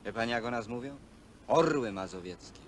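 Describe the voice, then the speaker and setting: An actor playing a lonely, bitter young hostel worker in a naturalistic film drama, speaking plainly and haltingly. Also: male voice, mid-range voice, lightly acted. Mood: aggrieved. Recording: noisy old film soundtrack.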